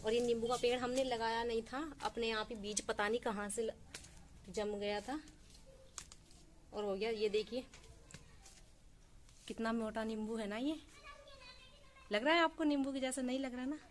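A woman's voice: a little high, steady tone, moderate pace, pauses often. A woman in her twenties chatting casually in a village vlog, showing things to the camera from a phone held at arm's length. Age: 20s. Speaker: vlogger. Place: outdoor village courtyard and rooftop. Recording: clear outdoor background noise.

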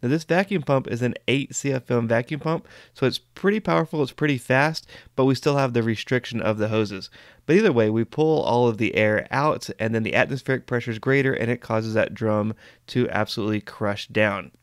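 A man narrating an HVAC lesson in a plain, step-by-step way, pausing now and then with an "uh". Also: medium pitch, no echo, clean studio sound. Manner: plain, step-by-step explaining with occasional "uh". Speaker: HVAC instructor. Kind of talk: explanatory voice-over narration.

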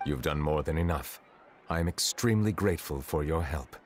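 Low-voiced, dignified judge. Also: male voice, in a large hall, faint music behind. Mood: calm, courteous. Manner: formal, measured, precise. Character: dignified, reserved male chief judge, calm and formal. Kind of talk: video game character acting.

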